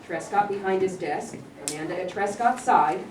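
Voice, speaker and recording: A woman's voice: medium pitch, moderate pace. A woman giving scripted narration, reading out stage directions at a staged play reading. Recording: roomy, distant mic, clear background noise.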